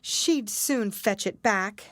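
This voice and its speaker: A woman's voice: mid-range voice, slow pace, a bit bright, dry recording, voice pushed up. A woman voicing an earnest, polite little storybook girl, eager and wistful in manner. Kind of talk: children's storybook character voice.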